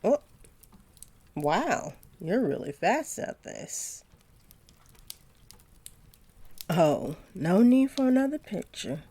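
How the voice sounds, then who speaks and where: A woman playing a sweet, doting centaur girlfriend in an intimate roleplay audio, speaking softly and affectionately to her partner with gentle teasing. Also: mid-range voice, big pitch swings, slow pace, husky, full character voice. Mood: delighted, trusting.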